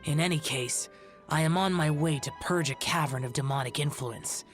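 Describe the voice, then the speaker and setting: A man's voice: a little high, moderate pace, lightly acted. A male actor playing a stoic, youthful immortal demon-slayer in an anime video game, speaking in a grave, formal, aloof way. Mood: stern, detached. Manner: formal, grave, archaic phrasing. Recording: music behind the voice.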